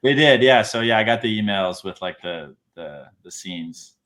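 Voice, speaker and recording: A man's voice: lowish voice, lively intonation, normal speed, fairly bright tone. A young adult man, an actor, chatting in an interview in an easygoing, hesitant way, with many fillers. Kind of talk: casual interview chat.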